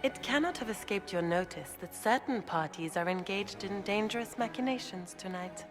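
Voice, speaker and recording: A woman's voice: mid-range voice, normal speed, music in the background, lightly acted. A woman playing a poised, scheming court noblewoman in a fantasy game, formal and insinuating. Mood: conspiratorial.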